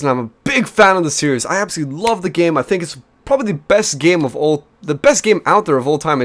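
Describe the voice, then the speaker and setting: A man in his twenties from New Zealand, chatty and energetic, casting a strategy game replay with frequent fillers and restarts at a desk microphone. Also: fairly high pitch. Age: 20s. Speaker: game caster. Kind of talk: replay commentary.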